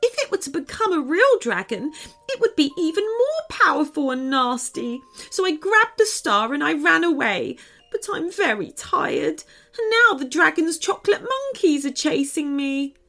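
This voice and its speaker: A woman's voice: high voice, big pitch swings, normal speed, sharp tone, faint music behind, voice pushed up, exaggerated voice. A woman voicing a small, frightened runaway elf girl in a storybook, plaintive and tearful. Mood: distressed.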